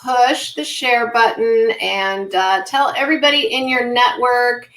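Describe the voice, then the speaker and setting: A woman co-hosting a live low-carb cooking show who is losing her voice, talking in a halting way with many fillers and throat-clearing. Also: fairly bright tone, echoey room, low-quality recording. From Southern California. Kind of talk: live-streamed casual chat.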